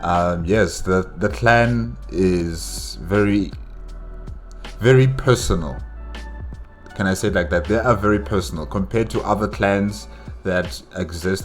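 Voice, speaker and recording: A man giving casual, unscripted commentary as a reaction host, with halting and repetitive phrasing. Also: low voice, faint music behind.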